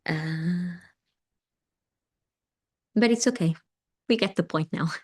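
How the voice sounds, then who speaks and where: A woman with a fairly low voice speaks slowly and softly. She is not a native English speaker. She guides a live meditation class, answers chat comments with gentle affirmations and laughs lightly as she goes. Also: breathy edge. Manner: gentle and affirming, laughs often, with pauses and ums. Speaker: meditation teacher.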